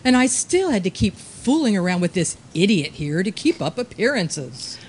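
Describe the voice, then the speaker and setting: A woman's voice: medium pitch, big pitch swings, normal speed, a little mellow, slight background noise, close to an everyday voice. A woman playing a scheming, exasperated widow and murderess in a comic old-time radio play.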